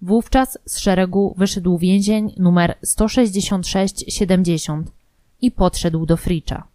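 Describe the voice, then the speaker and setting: A woman reading scripted documentary narration in a measured, formal manner. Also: lowish voice, fairly even intonation, dark tone, no echo.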